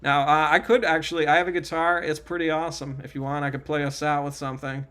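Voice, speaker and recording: A man hosts a loose podcast chat over a video call, his voice sitting a little high. He rambles, restarts and pokes fun at himself. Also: little pitch movement, quick pace, a bit bright, clear, resonant tone. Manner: rambling, self-mocking, restarts. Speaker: podcast host.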